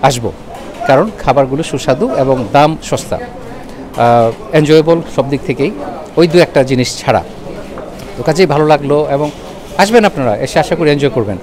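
A man's voice: on the high side, moderate pace, pauses often. Male Bangladeshi food vlogger, chatty restaurant review sprinkled with English, recorded amid busy urban bustle. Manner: chatty, with English words mixed in. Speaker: food and travel vlogger, host. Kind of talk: casual restaurant review to camera. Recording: busy roadside traffic and chatter behind.

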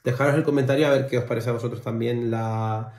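A man's voice: medium pitch, fairly even intonation, fast talker, darkish tone, a little hiss or hum. A young man from Spain co-hosting a reaction video, chatting casually and rambling with lots of fillers and restarts. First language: Spanish. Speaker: reaction channel co-host. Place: living room at home. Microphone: fixed camera on a desk. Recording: roomy home echo.

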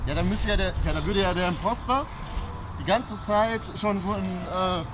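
A young man chatting casually outdoors in an animated way, directing a playground game as a video host. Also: high voice, normal speed, noisy background. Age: young adult. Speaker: YouTube host.

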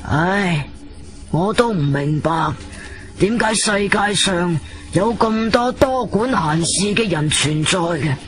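A man playing a stern, secretive gentleman in a Cantonese radio drama, sighing and condescending about meddlers. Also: sits high, animated, slow pace, faint music behind, full character voice. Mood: cold contempt.

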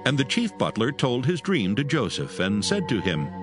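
A man narrating scripture in a solemn, formal, measured storytelling style. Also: medium pitch, huge pitch swings, music in the background.

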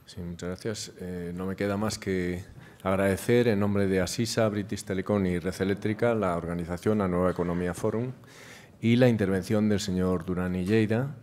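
A man's voice: sits low, steady tone, normal speed, darkish tone, a little hiss or hum. A man giving formal closing remarks as a company director on behalf of sponsors. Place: hotel banquet hall, panel table.

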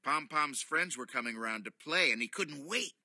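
A middle-aged man reading a children's bedtime story aloud in an animated, playful, theatrical way from a cosy studio armchair. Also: on the high side, normal speed, no room sound.

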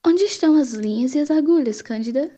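A woman plays a young cousin in an amateur radio soap. Her voice is high, and she speaks in an earnest, expressive way, friendly but easily made anxious. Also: a little fast.

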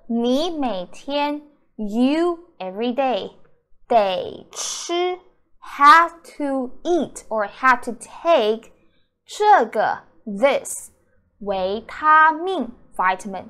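A woman's voice: high-pitched, a bit bright. A woman in her thirties, a native Mandarin speaker, teaching a language lesson to camera indoors and repeating example phrases carefully. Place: small indoor room.

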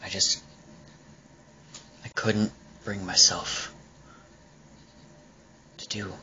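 Man acting a low, brooding, bitter young killer. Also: flat delivery, bright, forceful tone, dull audio, slight background noise, exaggerated voice. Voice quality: breathy, often dropping to a whisper. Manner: halting, menacing confession. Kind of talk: dramatic villain monologue.

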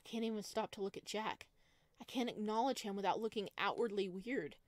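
A woman streaming a visual novel, reading aloud and reacting in a playful, dramatic, expressive way. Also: medium pitch, fairly quick, dry recording. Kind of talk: let's play, reading game narration aloud with reactions. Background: game music.

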